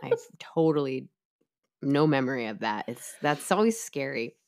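A woman with a hoarse, tired voice, chatting casually as a podcast co-host and laughing often. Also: extremely low pitch, big pitch swings, normal speed, a little mellow. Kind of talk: casual chat.

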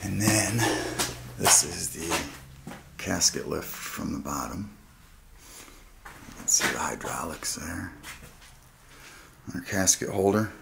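A man quietly narrating as he walks through an abandoned building, often dropping to a hushed half-whisper. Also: deep voice, expressive, slow, vocal fry, long pauses. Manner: hushed, half-whispered remarks while exploring. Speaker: urban explorer vlogger. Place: abandoned funeral home indoors. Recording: noisy camera audio.

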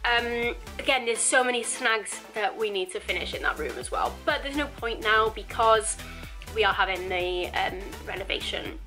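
A woman's voice: mid-range voice, expressive, moderate pace, bright, forceful tone, soft background music. A young woman, answering viewer questions about her home renovation in a chatty, rambling vlog. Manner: chatty, upbeat, rambling with restarts. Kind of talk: casual Q&A vlog. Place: indoors at home, virtual backdrops.

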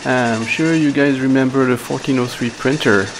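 Creaky-voiced man hosting casual tech chat. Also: medium pitch, steady tone, normal speed. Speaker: host. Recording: background room noise.

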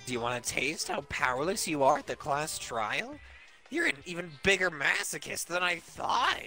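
A man's voice: a little high, very animated, moderate pace, sharp tone, soft background music, full character voice. A man voicing a gleefully menacing mascot bear from a video game, taunting in a sing-song way.

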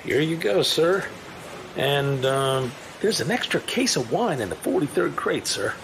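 An obsequious, nervous male crewman, played by an actor in a space audio drama. His hesitant voice is fairly high and creaky, swinging in pitch, with faint noise behind. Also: sing-song, measured pace, a bit bright, full character voice, rough recording. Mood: nervous, eager. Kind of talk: science fiction audio drama acting.

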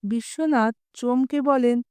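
A woman's voice: on the high side, slow, warm tone, clean tone, no echo, clean studio sound. A woman telling a humorous short story aloud, giving the quoted lines a little expression.

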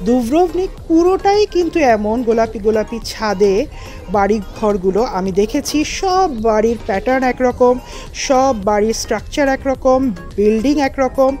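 A woman's voice: fairly high pitch, lively intonation, moderate pace, warm tone, faint music behind. Chatty Bengali-accented woman vlogger. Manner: chatty, addresses viewers directly. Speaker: travel vlogger. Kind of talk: casual travel vlog narration. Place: inside a moving car.

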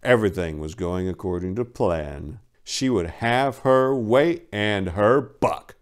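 A man's voice: lowish voice, lively intonation, slow. A man in his forties giving a theatrical, mock-earnest dramatic reading of a comic romance story, recorded indoors on a lapel mic. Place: indoors, book-lined room.

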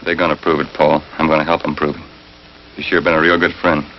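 Very deep, bitter accuser. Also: male voice, little pitch movement, fast talker. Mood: bitter accusing. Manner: accusing, sarcastic. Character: bitter, betrayed male friend of a suspect. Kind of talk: 1950s television crime drama acting. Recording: dull 1950s TV soundtrack, faint noise.

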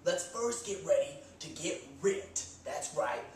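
A man's voice: a little high, very animated, a little slow, a little hiss or hum. A young man in his twenties hosting a comedy sketch, giving mock gym advice with cocky meathead bravado. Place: small indoor room against a bare wall. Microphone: far camera. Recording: echoey room from far off.

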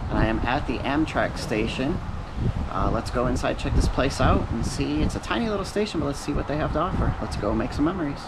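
A man's voice: mid-range voice, expressive, fairly quick, poor audio. Chatty man filming a walkabout vlog. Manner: chatty, upbeat, narrates as he films. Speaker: travel vlogger. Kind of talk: casual walking tour vlog. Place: outdoor train platform on a sunny day. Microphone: action camera held at arm's length. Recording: loud wind and outdoor noise.

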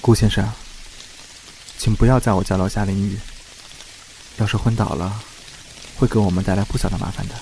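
Actor as frank young friend, music behind. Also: male voice, lowish voice, fairly even intonation, fairly quick, creaky, pauses often, a little mellow, a little hiss or hum, rough recording. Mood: wry, dismissive. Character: frank, protective young man, loyal childhood friend. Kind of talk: audio drama acting.

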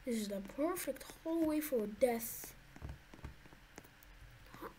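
A woman's voice: high-pitched, expressive, slow, warm tone, slight background noise, rough recording. A woman giving nervous, jumpy commentary to her viewers while playing a horror game. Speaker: gaming YouTuber.

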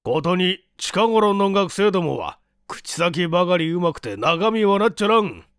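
A man voicing an irascible, eccentric old scientist who rants gruffly and scornfully in an audiobook. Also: high-pitched, lively intonation, moderate pace, no echo, studio quality, pitched above the performer's own voice. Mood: ranting, scornful. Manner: shouting, gruff, scornful ranting.

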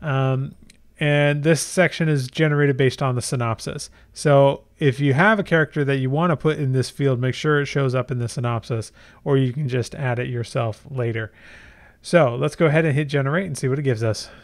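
A middle-aged man with a smooth, clear voice at an ordinary male pitch. He talks through a software tutorial in a casual, explanatory way, thinking aloud as he works. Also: steady tone, normal speed. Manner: conversational, explanatory, thinking aloud while typing. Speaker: tutorial host.

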